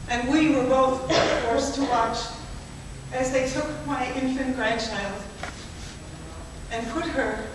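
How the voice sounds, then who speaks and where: Woman reading as a grieving grandmother. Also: high-pitched. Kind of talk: spoken-word poetry performance. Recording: echoey hall from far off, noisy.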